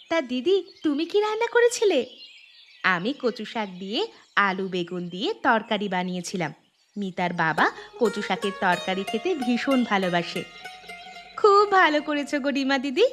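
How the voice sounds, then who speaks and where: High-pitched chatty village housewife voiced by a woman. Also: expressive, unhurried, fairly bright tone. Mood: chatty, friendly. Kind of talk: Bengali cartoon voice acting, dialogue. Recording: music bed behind the voice.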